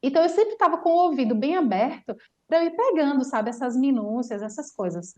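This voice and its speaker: A woman with a Brazilian Portuguese accent, a language teacher telling a lively travel story in casual chat, full of fillers. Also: high voice, a little fast. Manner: animated storyteller, chatty with many fillers.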